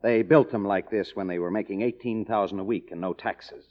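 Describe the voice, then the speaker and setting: A man's voice: on the low side, fairly quick, natural voice. An actor playing a cynical, proud American kept man, a struggling writer, in sardonic, clipped 1950s radio noir style. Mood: bitterly sardonic. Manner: sardonic, hard-boiled, clipped. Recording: dull vintage radio drama recording.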